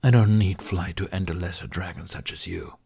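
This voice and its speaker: A man's voice: low voice, steady tone, brisk, gravelly, dark tone, husky, full character voice. A man acts out a defiant male dragon from a fantasy story. He is proud and sarcastic, snapping out clipped commands and retorts in a barking manner. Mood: defiant, scornful.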